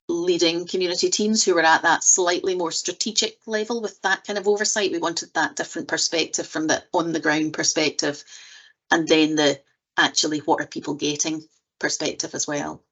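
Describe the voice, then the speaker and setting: Deep-voiced woman presenter. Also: fairly even intonation, moderate pace, a bit bright. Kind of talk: online seminar answer.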